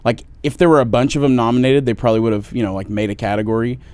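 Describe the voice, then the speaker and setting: A man's voice: mid-range voice, steady tone, racing, a little mellow, clean tone. A man in his thirties co-hosting a podcast, talking in a loose, joking way with casual swearing. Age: 30s. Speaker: podcast co-host.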